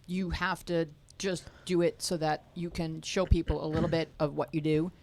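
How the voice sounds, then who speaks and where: A woman hosts the conversation, drawing out questions from young listeners with encouraging prompts. Her voice is very low with a strong gravelly fry. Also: little pitch movement, normal speed, darkish tone. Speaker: podcast host. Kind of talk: hosting a youth interview.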